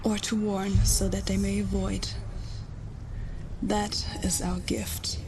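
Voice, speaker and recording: A young warrior woman in a video game drama, haunted yet determined. Her mid-range voice is breathy, solemn and intense, with loud music behind it. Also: mid-range voice, little pitch movement, slow pace. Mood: solemn, resolute.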